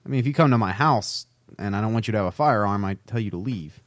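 A man's voice: fairly low pitch, animated, fast, no room sound, studio quality. A man co-hosting a podcast, chatting casually in a wry, teasing way. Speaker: podcast co-host. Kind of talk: casual banter and discussion.

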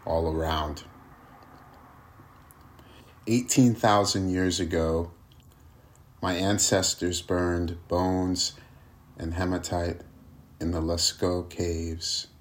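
A man reads his own poetry in a low voice. His delivery is slow and solemn, each short phrase set down with deliberate care. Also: steady tone, a little hiss or hum. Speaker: poet reading own poem.

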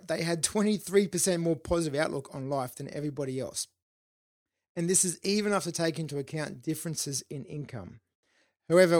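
A man hosting his own self-improvement podcast, talking solo in an upbeat, informal way with the odd filler, his voice a little hoarse. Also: a little high, a little mellow, no echo, studio quality. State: slightly hoarse, losing his voice. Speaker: podcast host.

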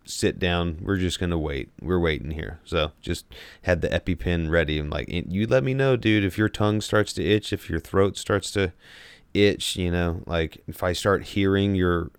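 A man's voice: low voice, normal speed, gravelly, clear, resonant tone, no room sound. A man co-hosting a podcast, chatting casually and telling personal anecdotes, often rephrasing and checking in with his listener. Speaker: podcast co-host.

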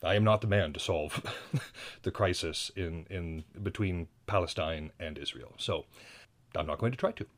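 Low-voiced American man hosting a podcast. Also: normal speed. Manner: rambling, self-deprecating, frequent restarts. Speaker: podcast host. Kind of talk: casual solo podcast monologue. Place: indoors at home.

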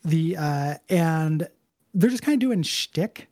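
A man's voice: animated, slow, warm tone, dry recording, clean studio sound. A man with a light tenor voice co-hosting a casual podcast, rambling through an episode recap with lots of fillers. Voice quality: medium-high tenor. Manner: rambling, filler-heavy, retells scenes with quoted lines. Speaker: podcast co-host.

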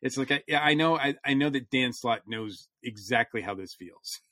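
Bright-voiced man chatting casually. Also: mid-range voice, moderate pace, dry recording, studio quality. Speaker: podcast host reviewing comics. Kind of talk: casual chat.

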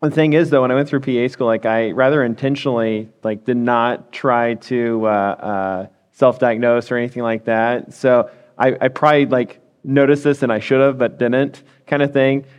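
Male guest, a physician assistant, speaking openly and haltingly, average pitch. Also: fairly even intonation, smooth, lo-fi sound. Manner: candid, hesitant, with many restarts. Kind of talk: casual interview answers.

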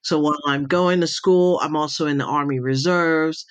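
A woman's voice: sits low, fairly even intonation, normal speed, dry recording. A middle-aged woman with a blended British and American accent, telling her story casually and chattily as a podcast guest, often drifting into asides. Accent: mixed British and American. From raised between the Middle East, Europe and the United States. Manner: chatty, digressive. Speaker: podcast guest, intelligence advisor and speaker.

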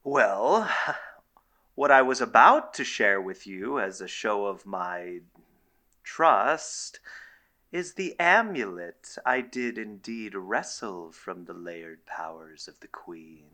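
A man's voice: on the low side, very animated, sharp tone, exaggerated voice. Pompous, theatrical scheming doctor played by a man. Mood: boastful. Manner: pompous, formal, drawn-out delivery. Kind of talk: audio drama acting.

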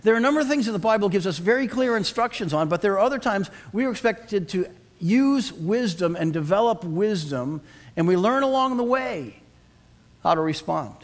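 A man's voice: sits high, expressive, moderate pace. A middle-aged male pastor preaching an earnest sermon and asking the congregation to respond.

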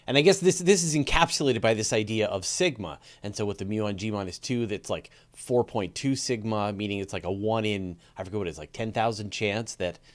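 A man with a somewhat low voice interviews a guest on a science podcast. He is conversational and a little tentative, working out each question as he speaks. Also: a little fast, few pauses.

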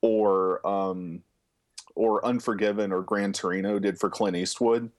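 Casting: man, lowish voice, relaxed profane podcast film talk. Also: a little slow. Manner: loose and profane, lots of fillers. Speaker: podcast co-host, film reviewer. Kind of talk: casual chat.

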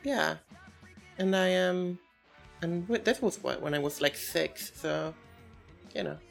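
A woman's voice: fairly low pitch, takes it slowly, vocal fry, faint music behind. A woman in her twenties chatting casually as a podcast co-host, teasing and thinking aloud in hesitant loops. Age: 20s. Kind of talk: casual chat about records.

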